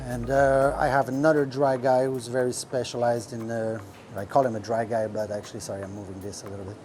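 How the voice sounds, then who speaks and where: Man talking shop, halting. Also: mid-range voice, little pitch movement, darkish tone, a little hiss or hum. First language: French. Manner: rambling, many fillers, searches for words. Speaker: restaurant owner. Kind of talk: casual podcast talk. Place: restaurant kitchen.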